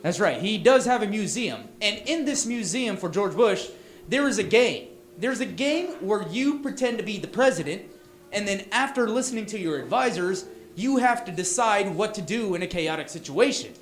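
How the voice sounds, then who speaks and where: A man from Texas with a Texan accent, doing a stand-up set in a small bar, loud and punchy with stumbling restarts. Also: high-pitched, lively intonation, moderate pace. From Texas, USA. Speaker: stand-up comic. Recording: echoey bar room.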